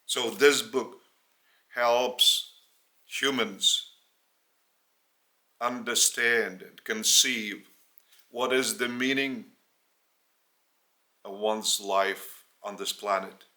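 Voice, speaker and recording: A man from Lithuania with a Lithuanian accent, a native Lithuanian speaker, giving a spiritual teaching in English in short, halting phrases. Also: mid-range voice, steady tone, pushed and bright. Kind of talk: spoken religious teaching in English.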